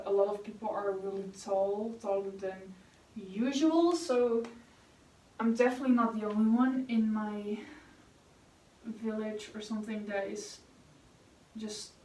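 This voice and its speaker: A young woman with a Dutch accent talks in a loose, rambling way, full of fillers and restarts. Her voice sits a little high and is caught from a distance in an echoey room. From Netherlands. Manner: chatty and rambling, with fillers and self-corrections. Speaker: vlogger. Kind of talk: casual chat while painting.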